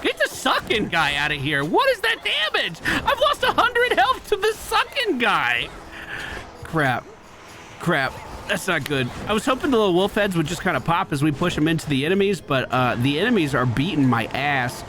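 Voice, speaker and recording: A man's voice: high-pitched, huge pitch swings, normal speed, bright, forceful tone, a little hiss or hum. A man giving casual running commentary as he plays a video game, talking to himself with exasperated exclamations and swearing. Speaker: gaming video creator.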